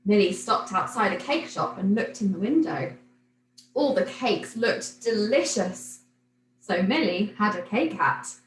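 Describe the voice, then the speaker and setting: A woman reading a children's picture book aloud like a teacher, in an expressive, animated storytelling manner. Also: mid-range voice, a little slow. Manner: animated, clearly paced storytelling for young children. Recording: roomy echo, noticeable background noise.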